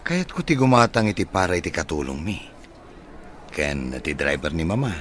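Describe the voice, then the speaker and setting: A low-pitched, well-to-do bachelor, played by a man, lively and playful in his delivery. He courts lightly in an audio drama with noise behind him. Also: normal speed. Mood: friendly, flirtatious. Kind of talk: dramatized audio story acting.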